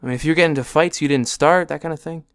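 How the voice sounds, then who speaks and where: Man playing an awkward, clear-voiced teenage boy. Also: fairly high pitch, very fast, lightly acted. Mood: awkward concern. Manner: hesitant, awkward. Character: awkward, kind-hearted teenage schoolboy roommate. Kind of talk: audiobook character acting.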